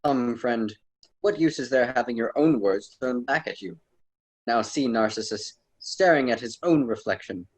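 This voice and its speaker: A man's voice: mid-range voice, normal speed, husky, lightly acted. A man playing a courteous, lofty ancient poet and guide in a radio drama, speaking formally and theatrically. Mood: guiding.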